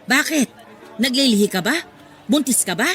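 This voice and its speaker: A woman's voice: fairly high pitch, lively intonation, moderate pace, a bit bright. A woman playing a meddlesome, chatty middle-aged neighbor in a Filipino radio drama. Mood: nosy, prying. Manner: chatty, prying. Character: nosy gossiping older neighborhood woman, meddlesome but concerned. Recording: music behind the voice.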